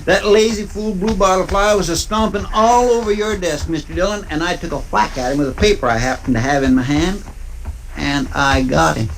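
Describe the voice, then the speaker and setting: An actor playing a deferential, rambling deputy with a folksy rural American drawl in a 1950s western radio drama. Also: male voice, on the high side, a little fast, close to an everyday voice. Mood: sheepish, chatty. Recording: noisy old radio recording.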